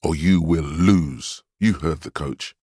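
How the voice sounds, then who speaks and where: The voice of willpower inside a detective's head for a video game. It is extremely low and husky, recorded close and dry. The delivery is measured and steadfast, calmly commanding, with a wry, protective reassurance. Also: male voice, dry recording, full character voice. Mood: firm, warning. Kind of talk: scripted game dialogue, second-person inner monologue.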